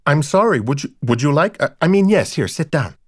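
A scatterbrained, apologetic young wife played by a male audiobook narrator, pitched well up. She stammers and leaves her sentences hanging, always flustered. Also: big pitch swings, no echo, studio quality. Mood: fluttery, hospitable. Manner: halting, stammering, breaks off mid-sentence. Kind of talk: audiobook character dialogue.

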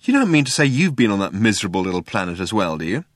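A man voicing an alien character in a comic audiobook, posing as cool and quickly exasperated. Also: on the low side, very animated, dry recording, clean studio sound. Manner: drawling when casual, demanding when annoyed.

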